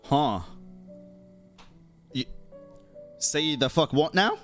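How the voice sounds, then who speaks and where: A woman's voice: sits low, lively intonation, very slow, soft background music. A woman streaming a game, reacting casually in an exclamatory, sweary way.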